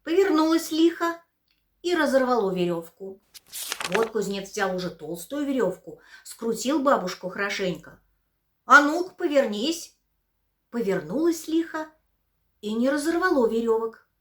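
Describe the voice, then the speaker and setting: Expressive female storyteller, higher voice, lively sing-song delivery, for bedtime tale. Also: moderate pace. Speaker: actress reading a fairy tale.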